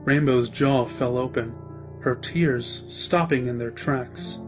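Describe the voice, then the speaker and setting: A man's voice: mid-range voice, takes it slowly, over music. A man reading scripted story narration as the narrator of a fan-fiction drama.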